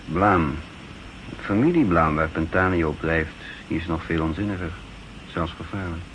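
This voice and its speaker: An actor playing a guarded, wry counter-espionage chief in a 1960s radio drama, measured and formal in speech. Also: male voice, low-pitched, a bit bright. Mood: earnest, grave. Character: guarded, wry male counter-espionage chief, narrating his own story. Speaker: actor, lead and narrator. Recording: noisy 1960s radio recording.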